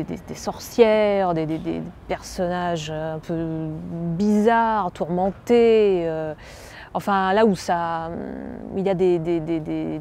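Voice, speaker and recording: A young woman from France, an actress, speaking in a slightly low, mellow voice. She muses aloud, halting often and circling back over her words. Faint background noise sits under her voice. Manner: musing, halting, many fillers and restarts. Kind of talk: self-presentation interview.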